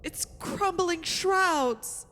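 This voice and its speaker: Woman acting an overwrought, high-voiced horror-stricken daughter. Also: extremely slow, fairly bright tone, exaggerated voice. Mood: horrified. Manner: dramatic, dread-laden delivery. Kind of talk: old-time radio horror drama acting. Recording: hissy recording in a reverberant hall.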